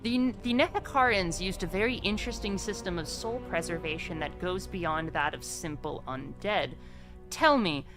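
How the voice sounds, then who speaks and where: A woman playing a measured, learned scholar exploring an ancient tomb in a tabletop game. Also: on the low side, fairly bright tone, lightly acted. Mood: grave, knowing.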